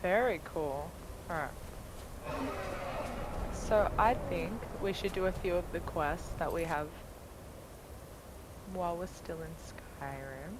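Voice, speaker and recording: A woman's voice: on the low side, slow pace, long pauses. Casual woman gamer with background noise. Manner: casual, sweary, thinking aloud. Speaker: gaming streamer. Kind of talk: casual gameplay commentary.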